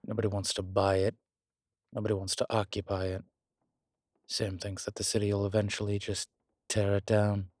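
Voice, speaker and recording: A man playing a wry, world-weary demon investigator in a horror roleplay audio, speaking in hesitant, measured, musing phrases with dry humour. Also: lowish voice, little pitch movement, darkish tone, no echo, close to an everyday voice. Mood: uneasy, grim. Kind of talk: horror roleplay audio, intimate one-sided dialogue.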